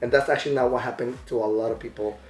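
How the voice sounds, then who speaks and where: A man talking thoughtfully in a casual conversation, pausing often to search for his words. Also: medium pitch, fairly even intonation. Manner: thoughtful, hesitant, many fillers. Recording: echoey room with faint music.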